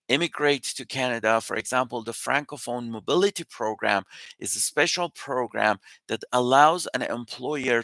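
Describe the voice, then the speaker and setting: A man whose first language is Persian, hosting a live session where he reviews immigration news and answers questions as an immigration consultant, talking through facts and figures in a steady, explanatory way. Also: medium pitch, no echo.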